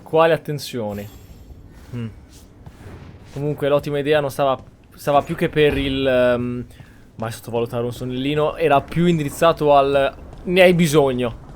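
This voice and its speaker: A man's voice: medium pitch, normal speed, a little hiss or hum. A man giving casual gameplay commentary, musing aloud in an informal way. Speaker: gaming channel host. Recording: faint game music behind.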